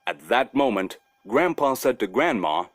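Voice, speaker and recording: A male storyteller reads a children's tale in a medium-pitched voice. He is expressive and deliberate, with lively intonation, recorded dry and close. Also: no echo, soft background music. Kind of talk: scripted children's story narration.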